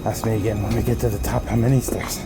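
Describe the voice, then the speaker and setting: A man's voice: fairly low pitch, flat delivery, fast talker, creaky, heavy background noise. A man playing a casual, inquisitive visitor in a naturalistic audio drama. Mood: casual, curious. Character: curious, casual male visitor recording his visit.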